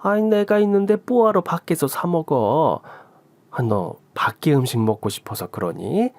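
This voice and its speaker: A man's voice: fairly high pitch, animated, normal speed, a little mellow, no echo, pitched above the performer's own voice. A man voicing a doting mother-in-law near sixty who scolds with fussy affection.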